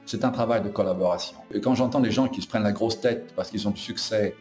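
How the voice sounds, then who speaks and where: Older man, interview talk, plain-spoken, lowish pitch, roomy echo, soft music behind. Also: quick pace.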